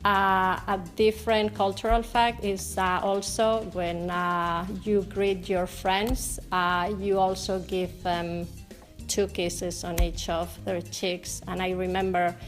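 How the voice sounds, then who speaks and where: A woman's voice: mid-range voice, flat delivery, slow pace, soft background music. A female teacher from Spain with a Spanish accent, telling stories on camera with fillers. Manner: anecdotal, fillers, laughs while telling. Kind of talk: interview testimonial.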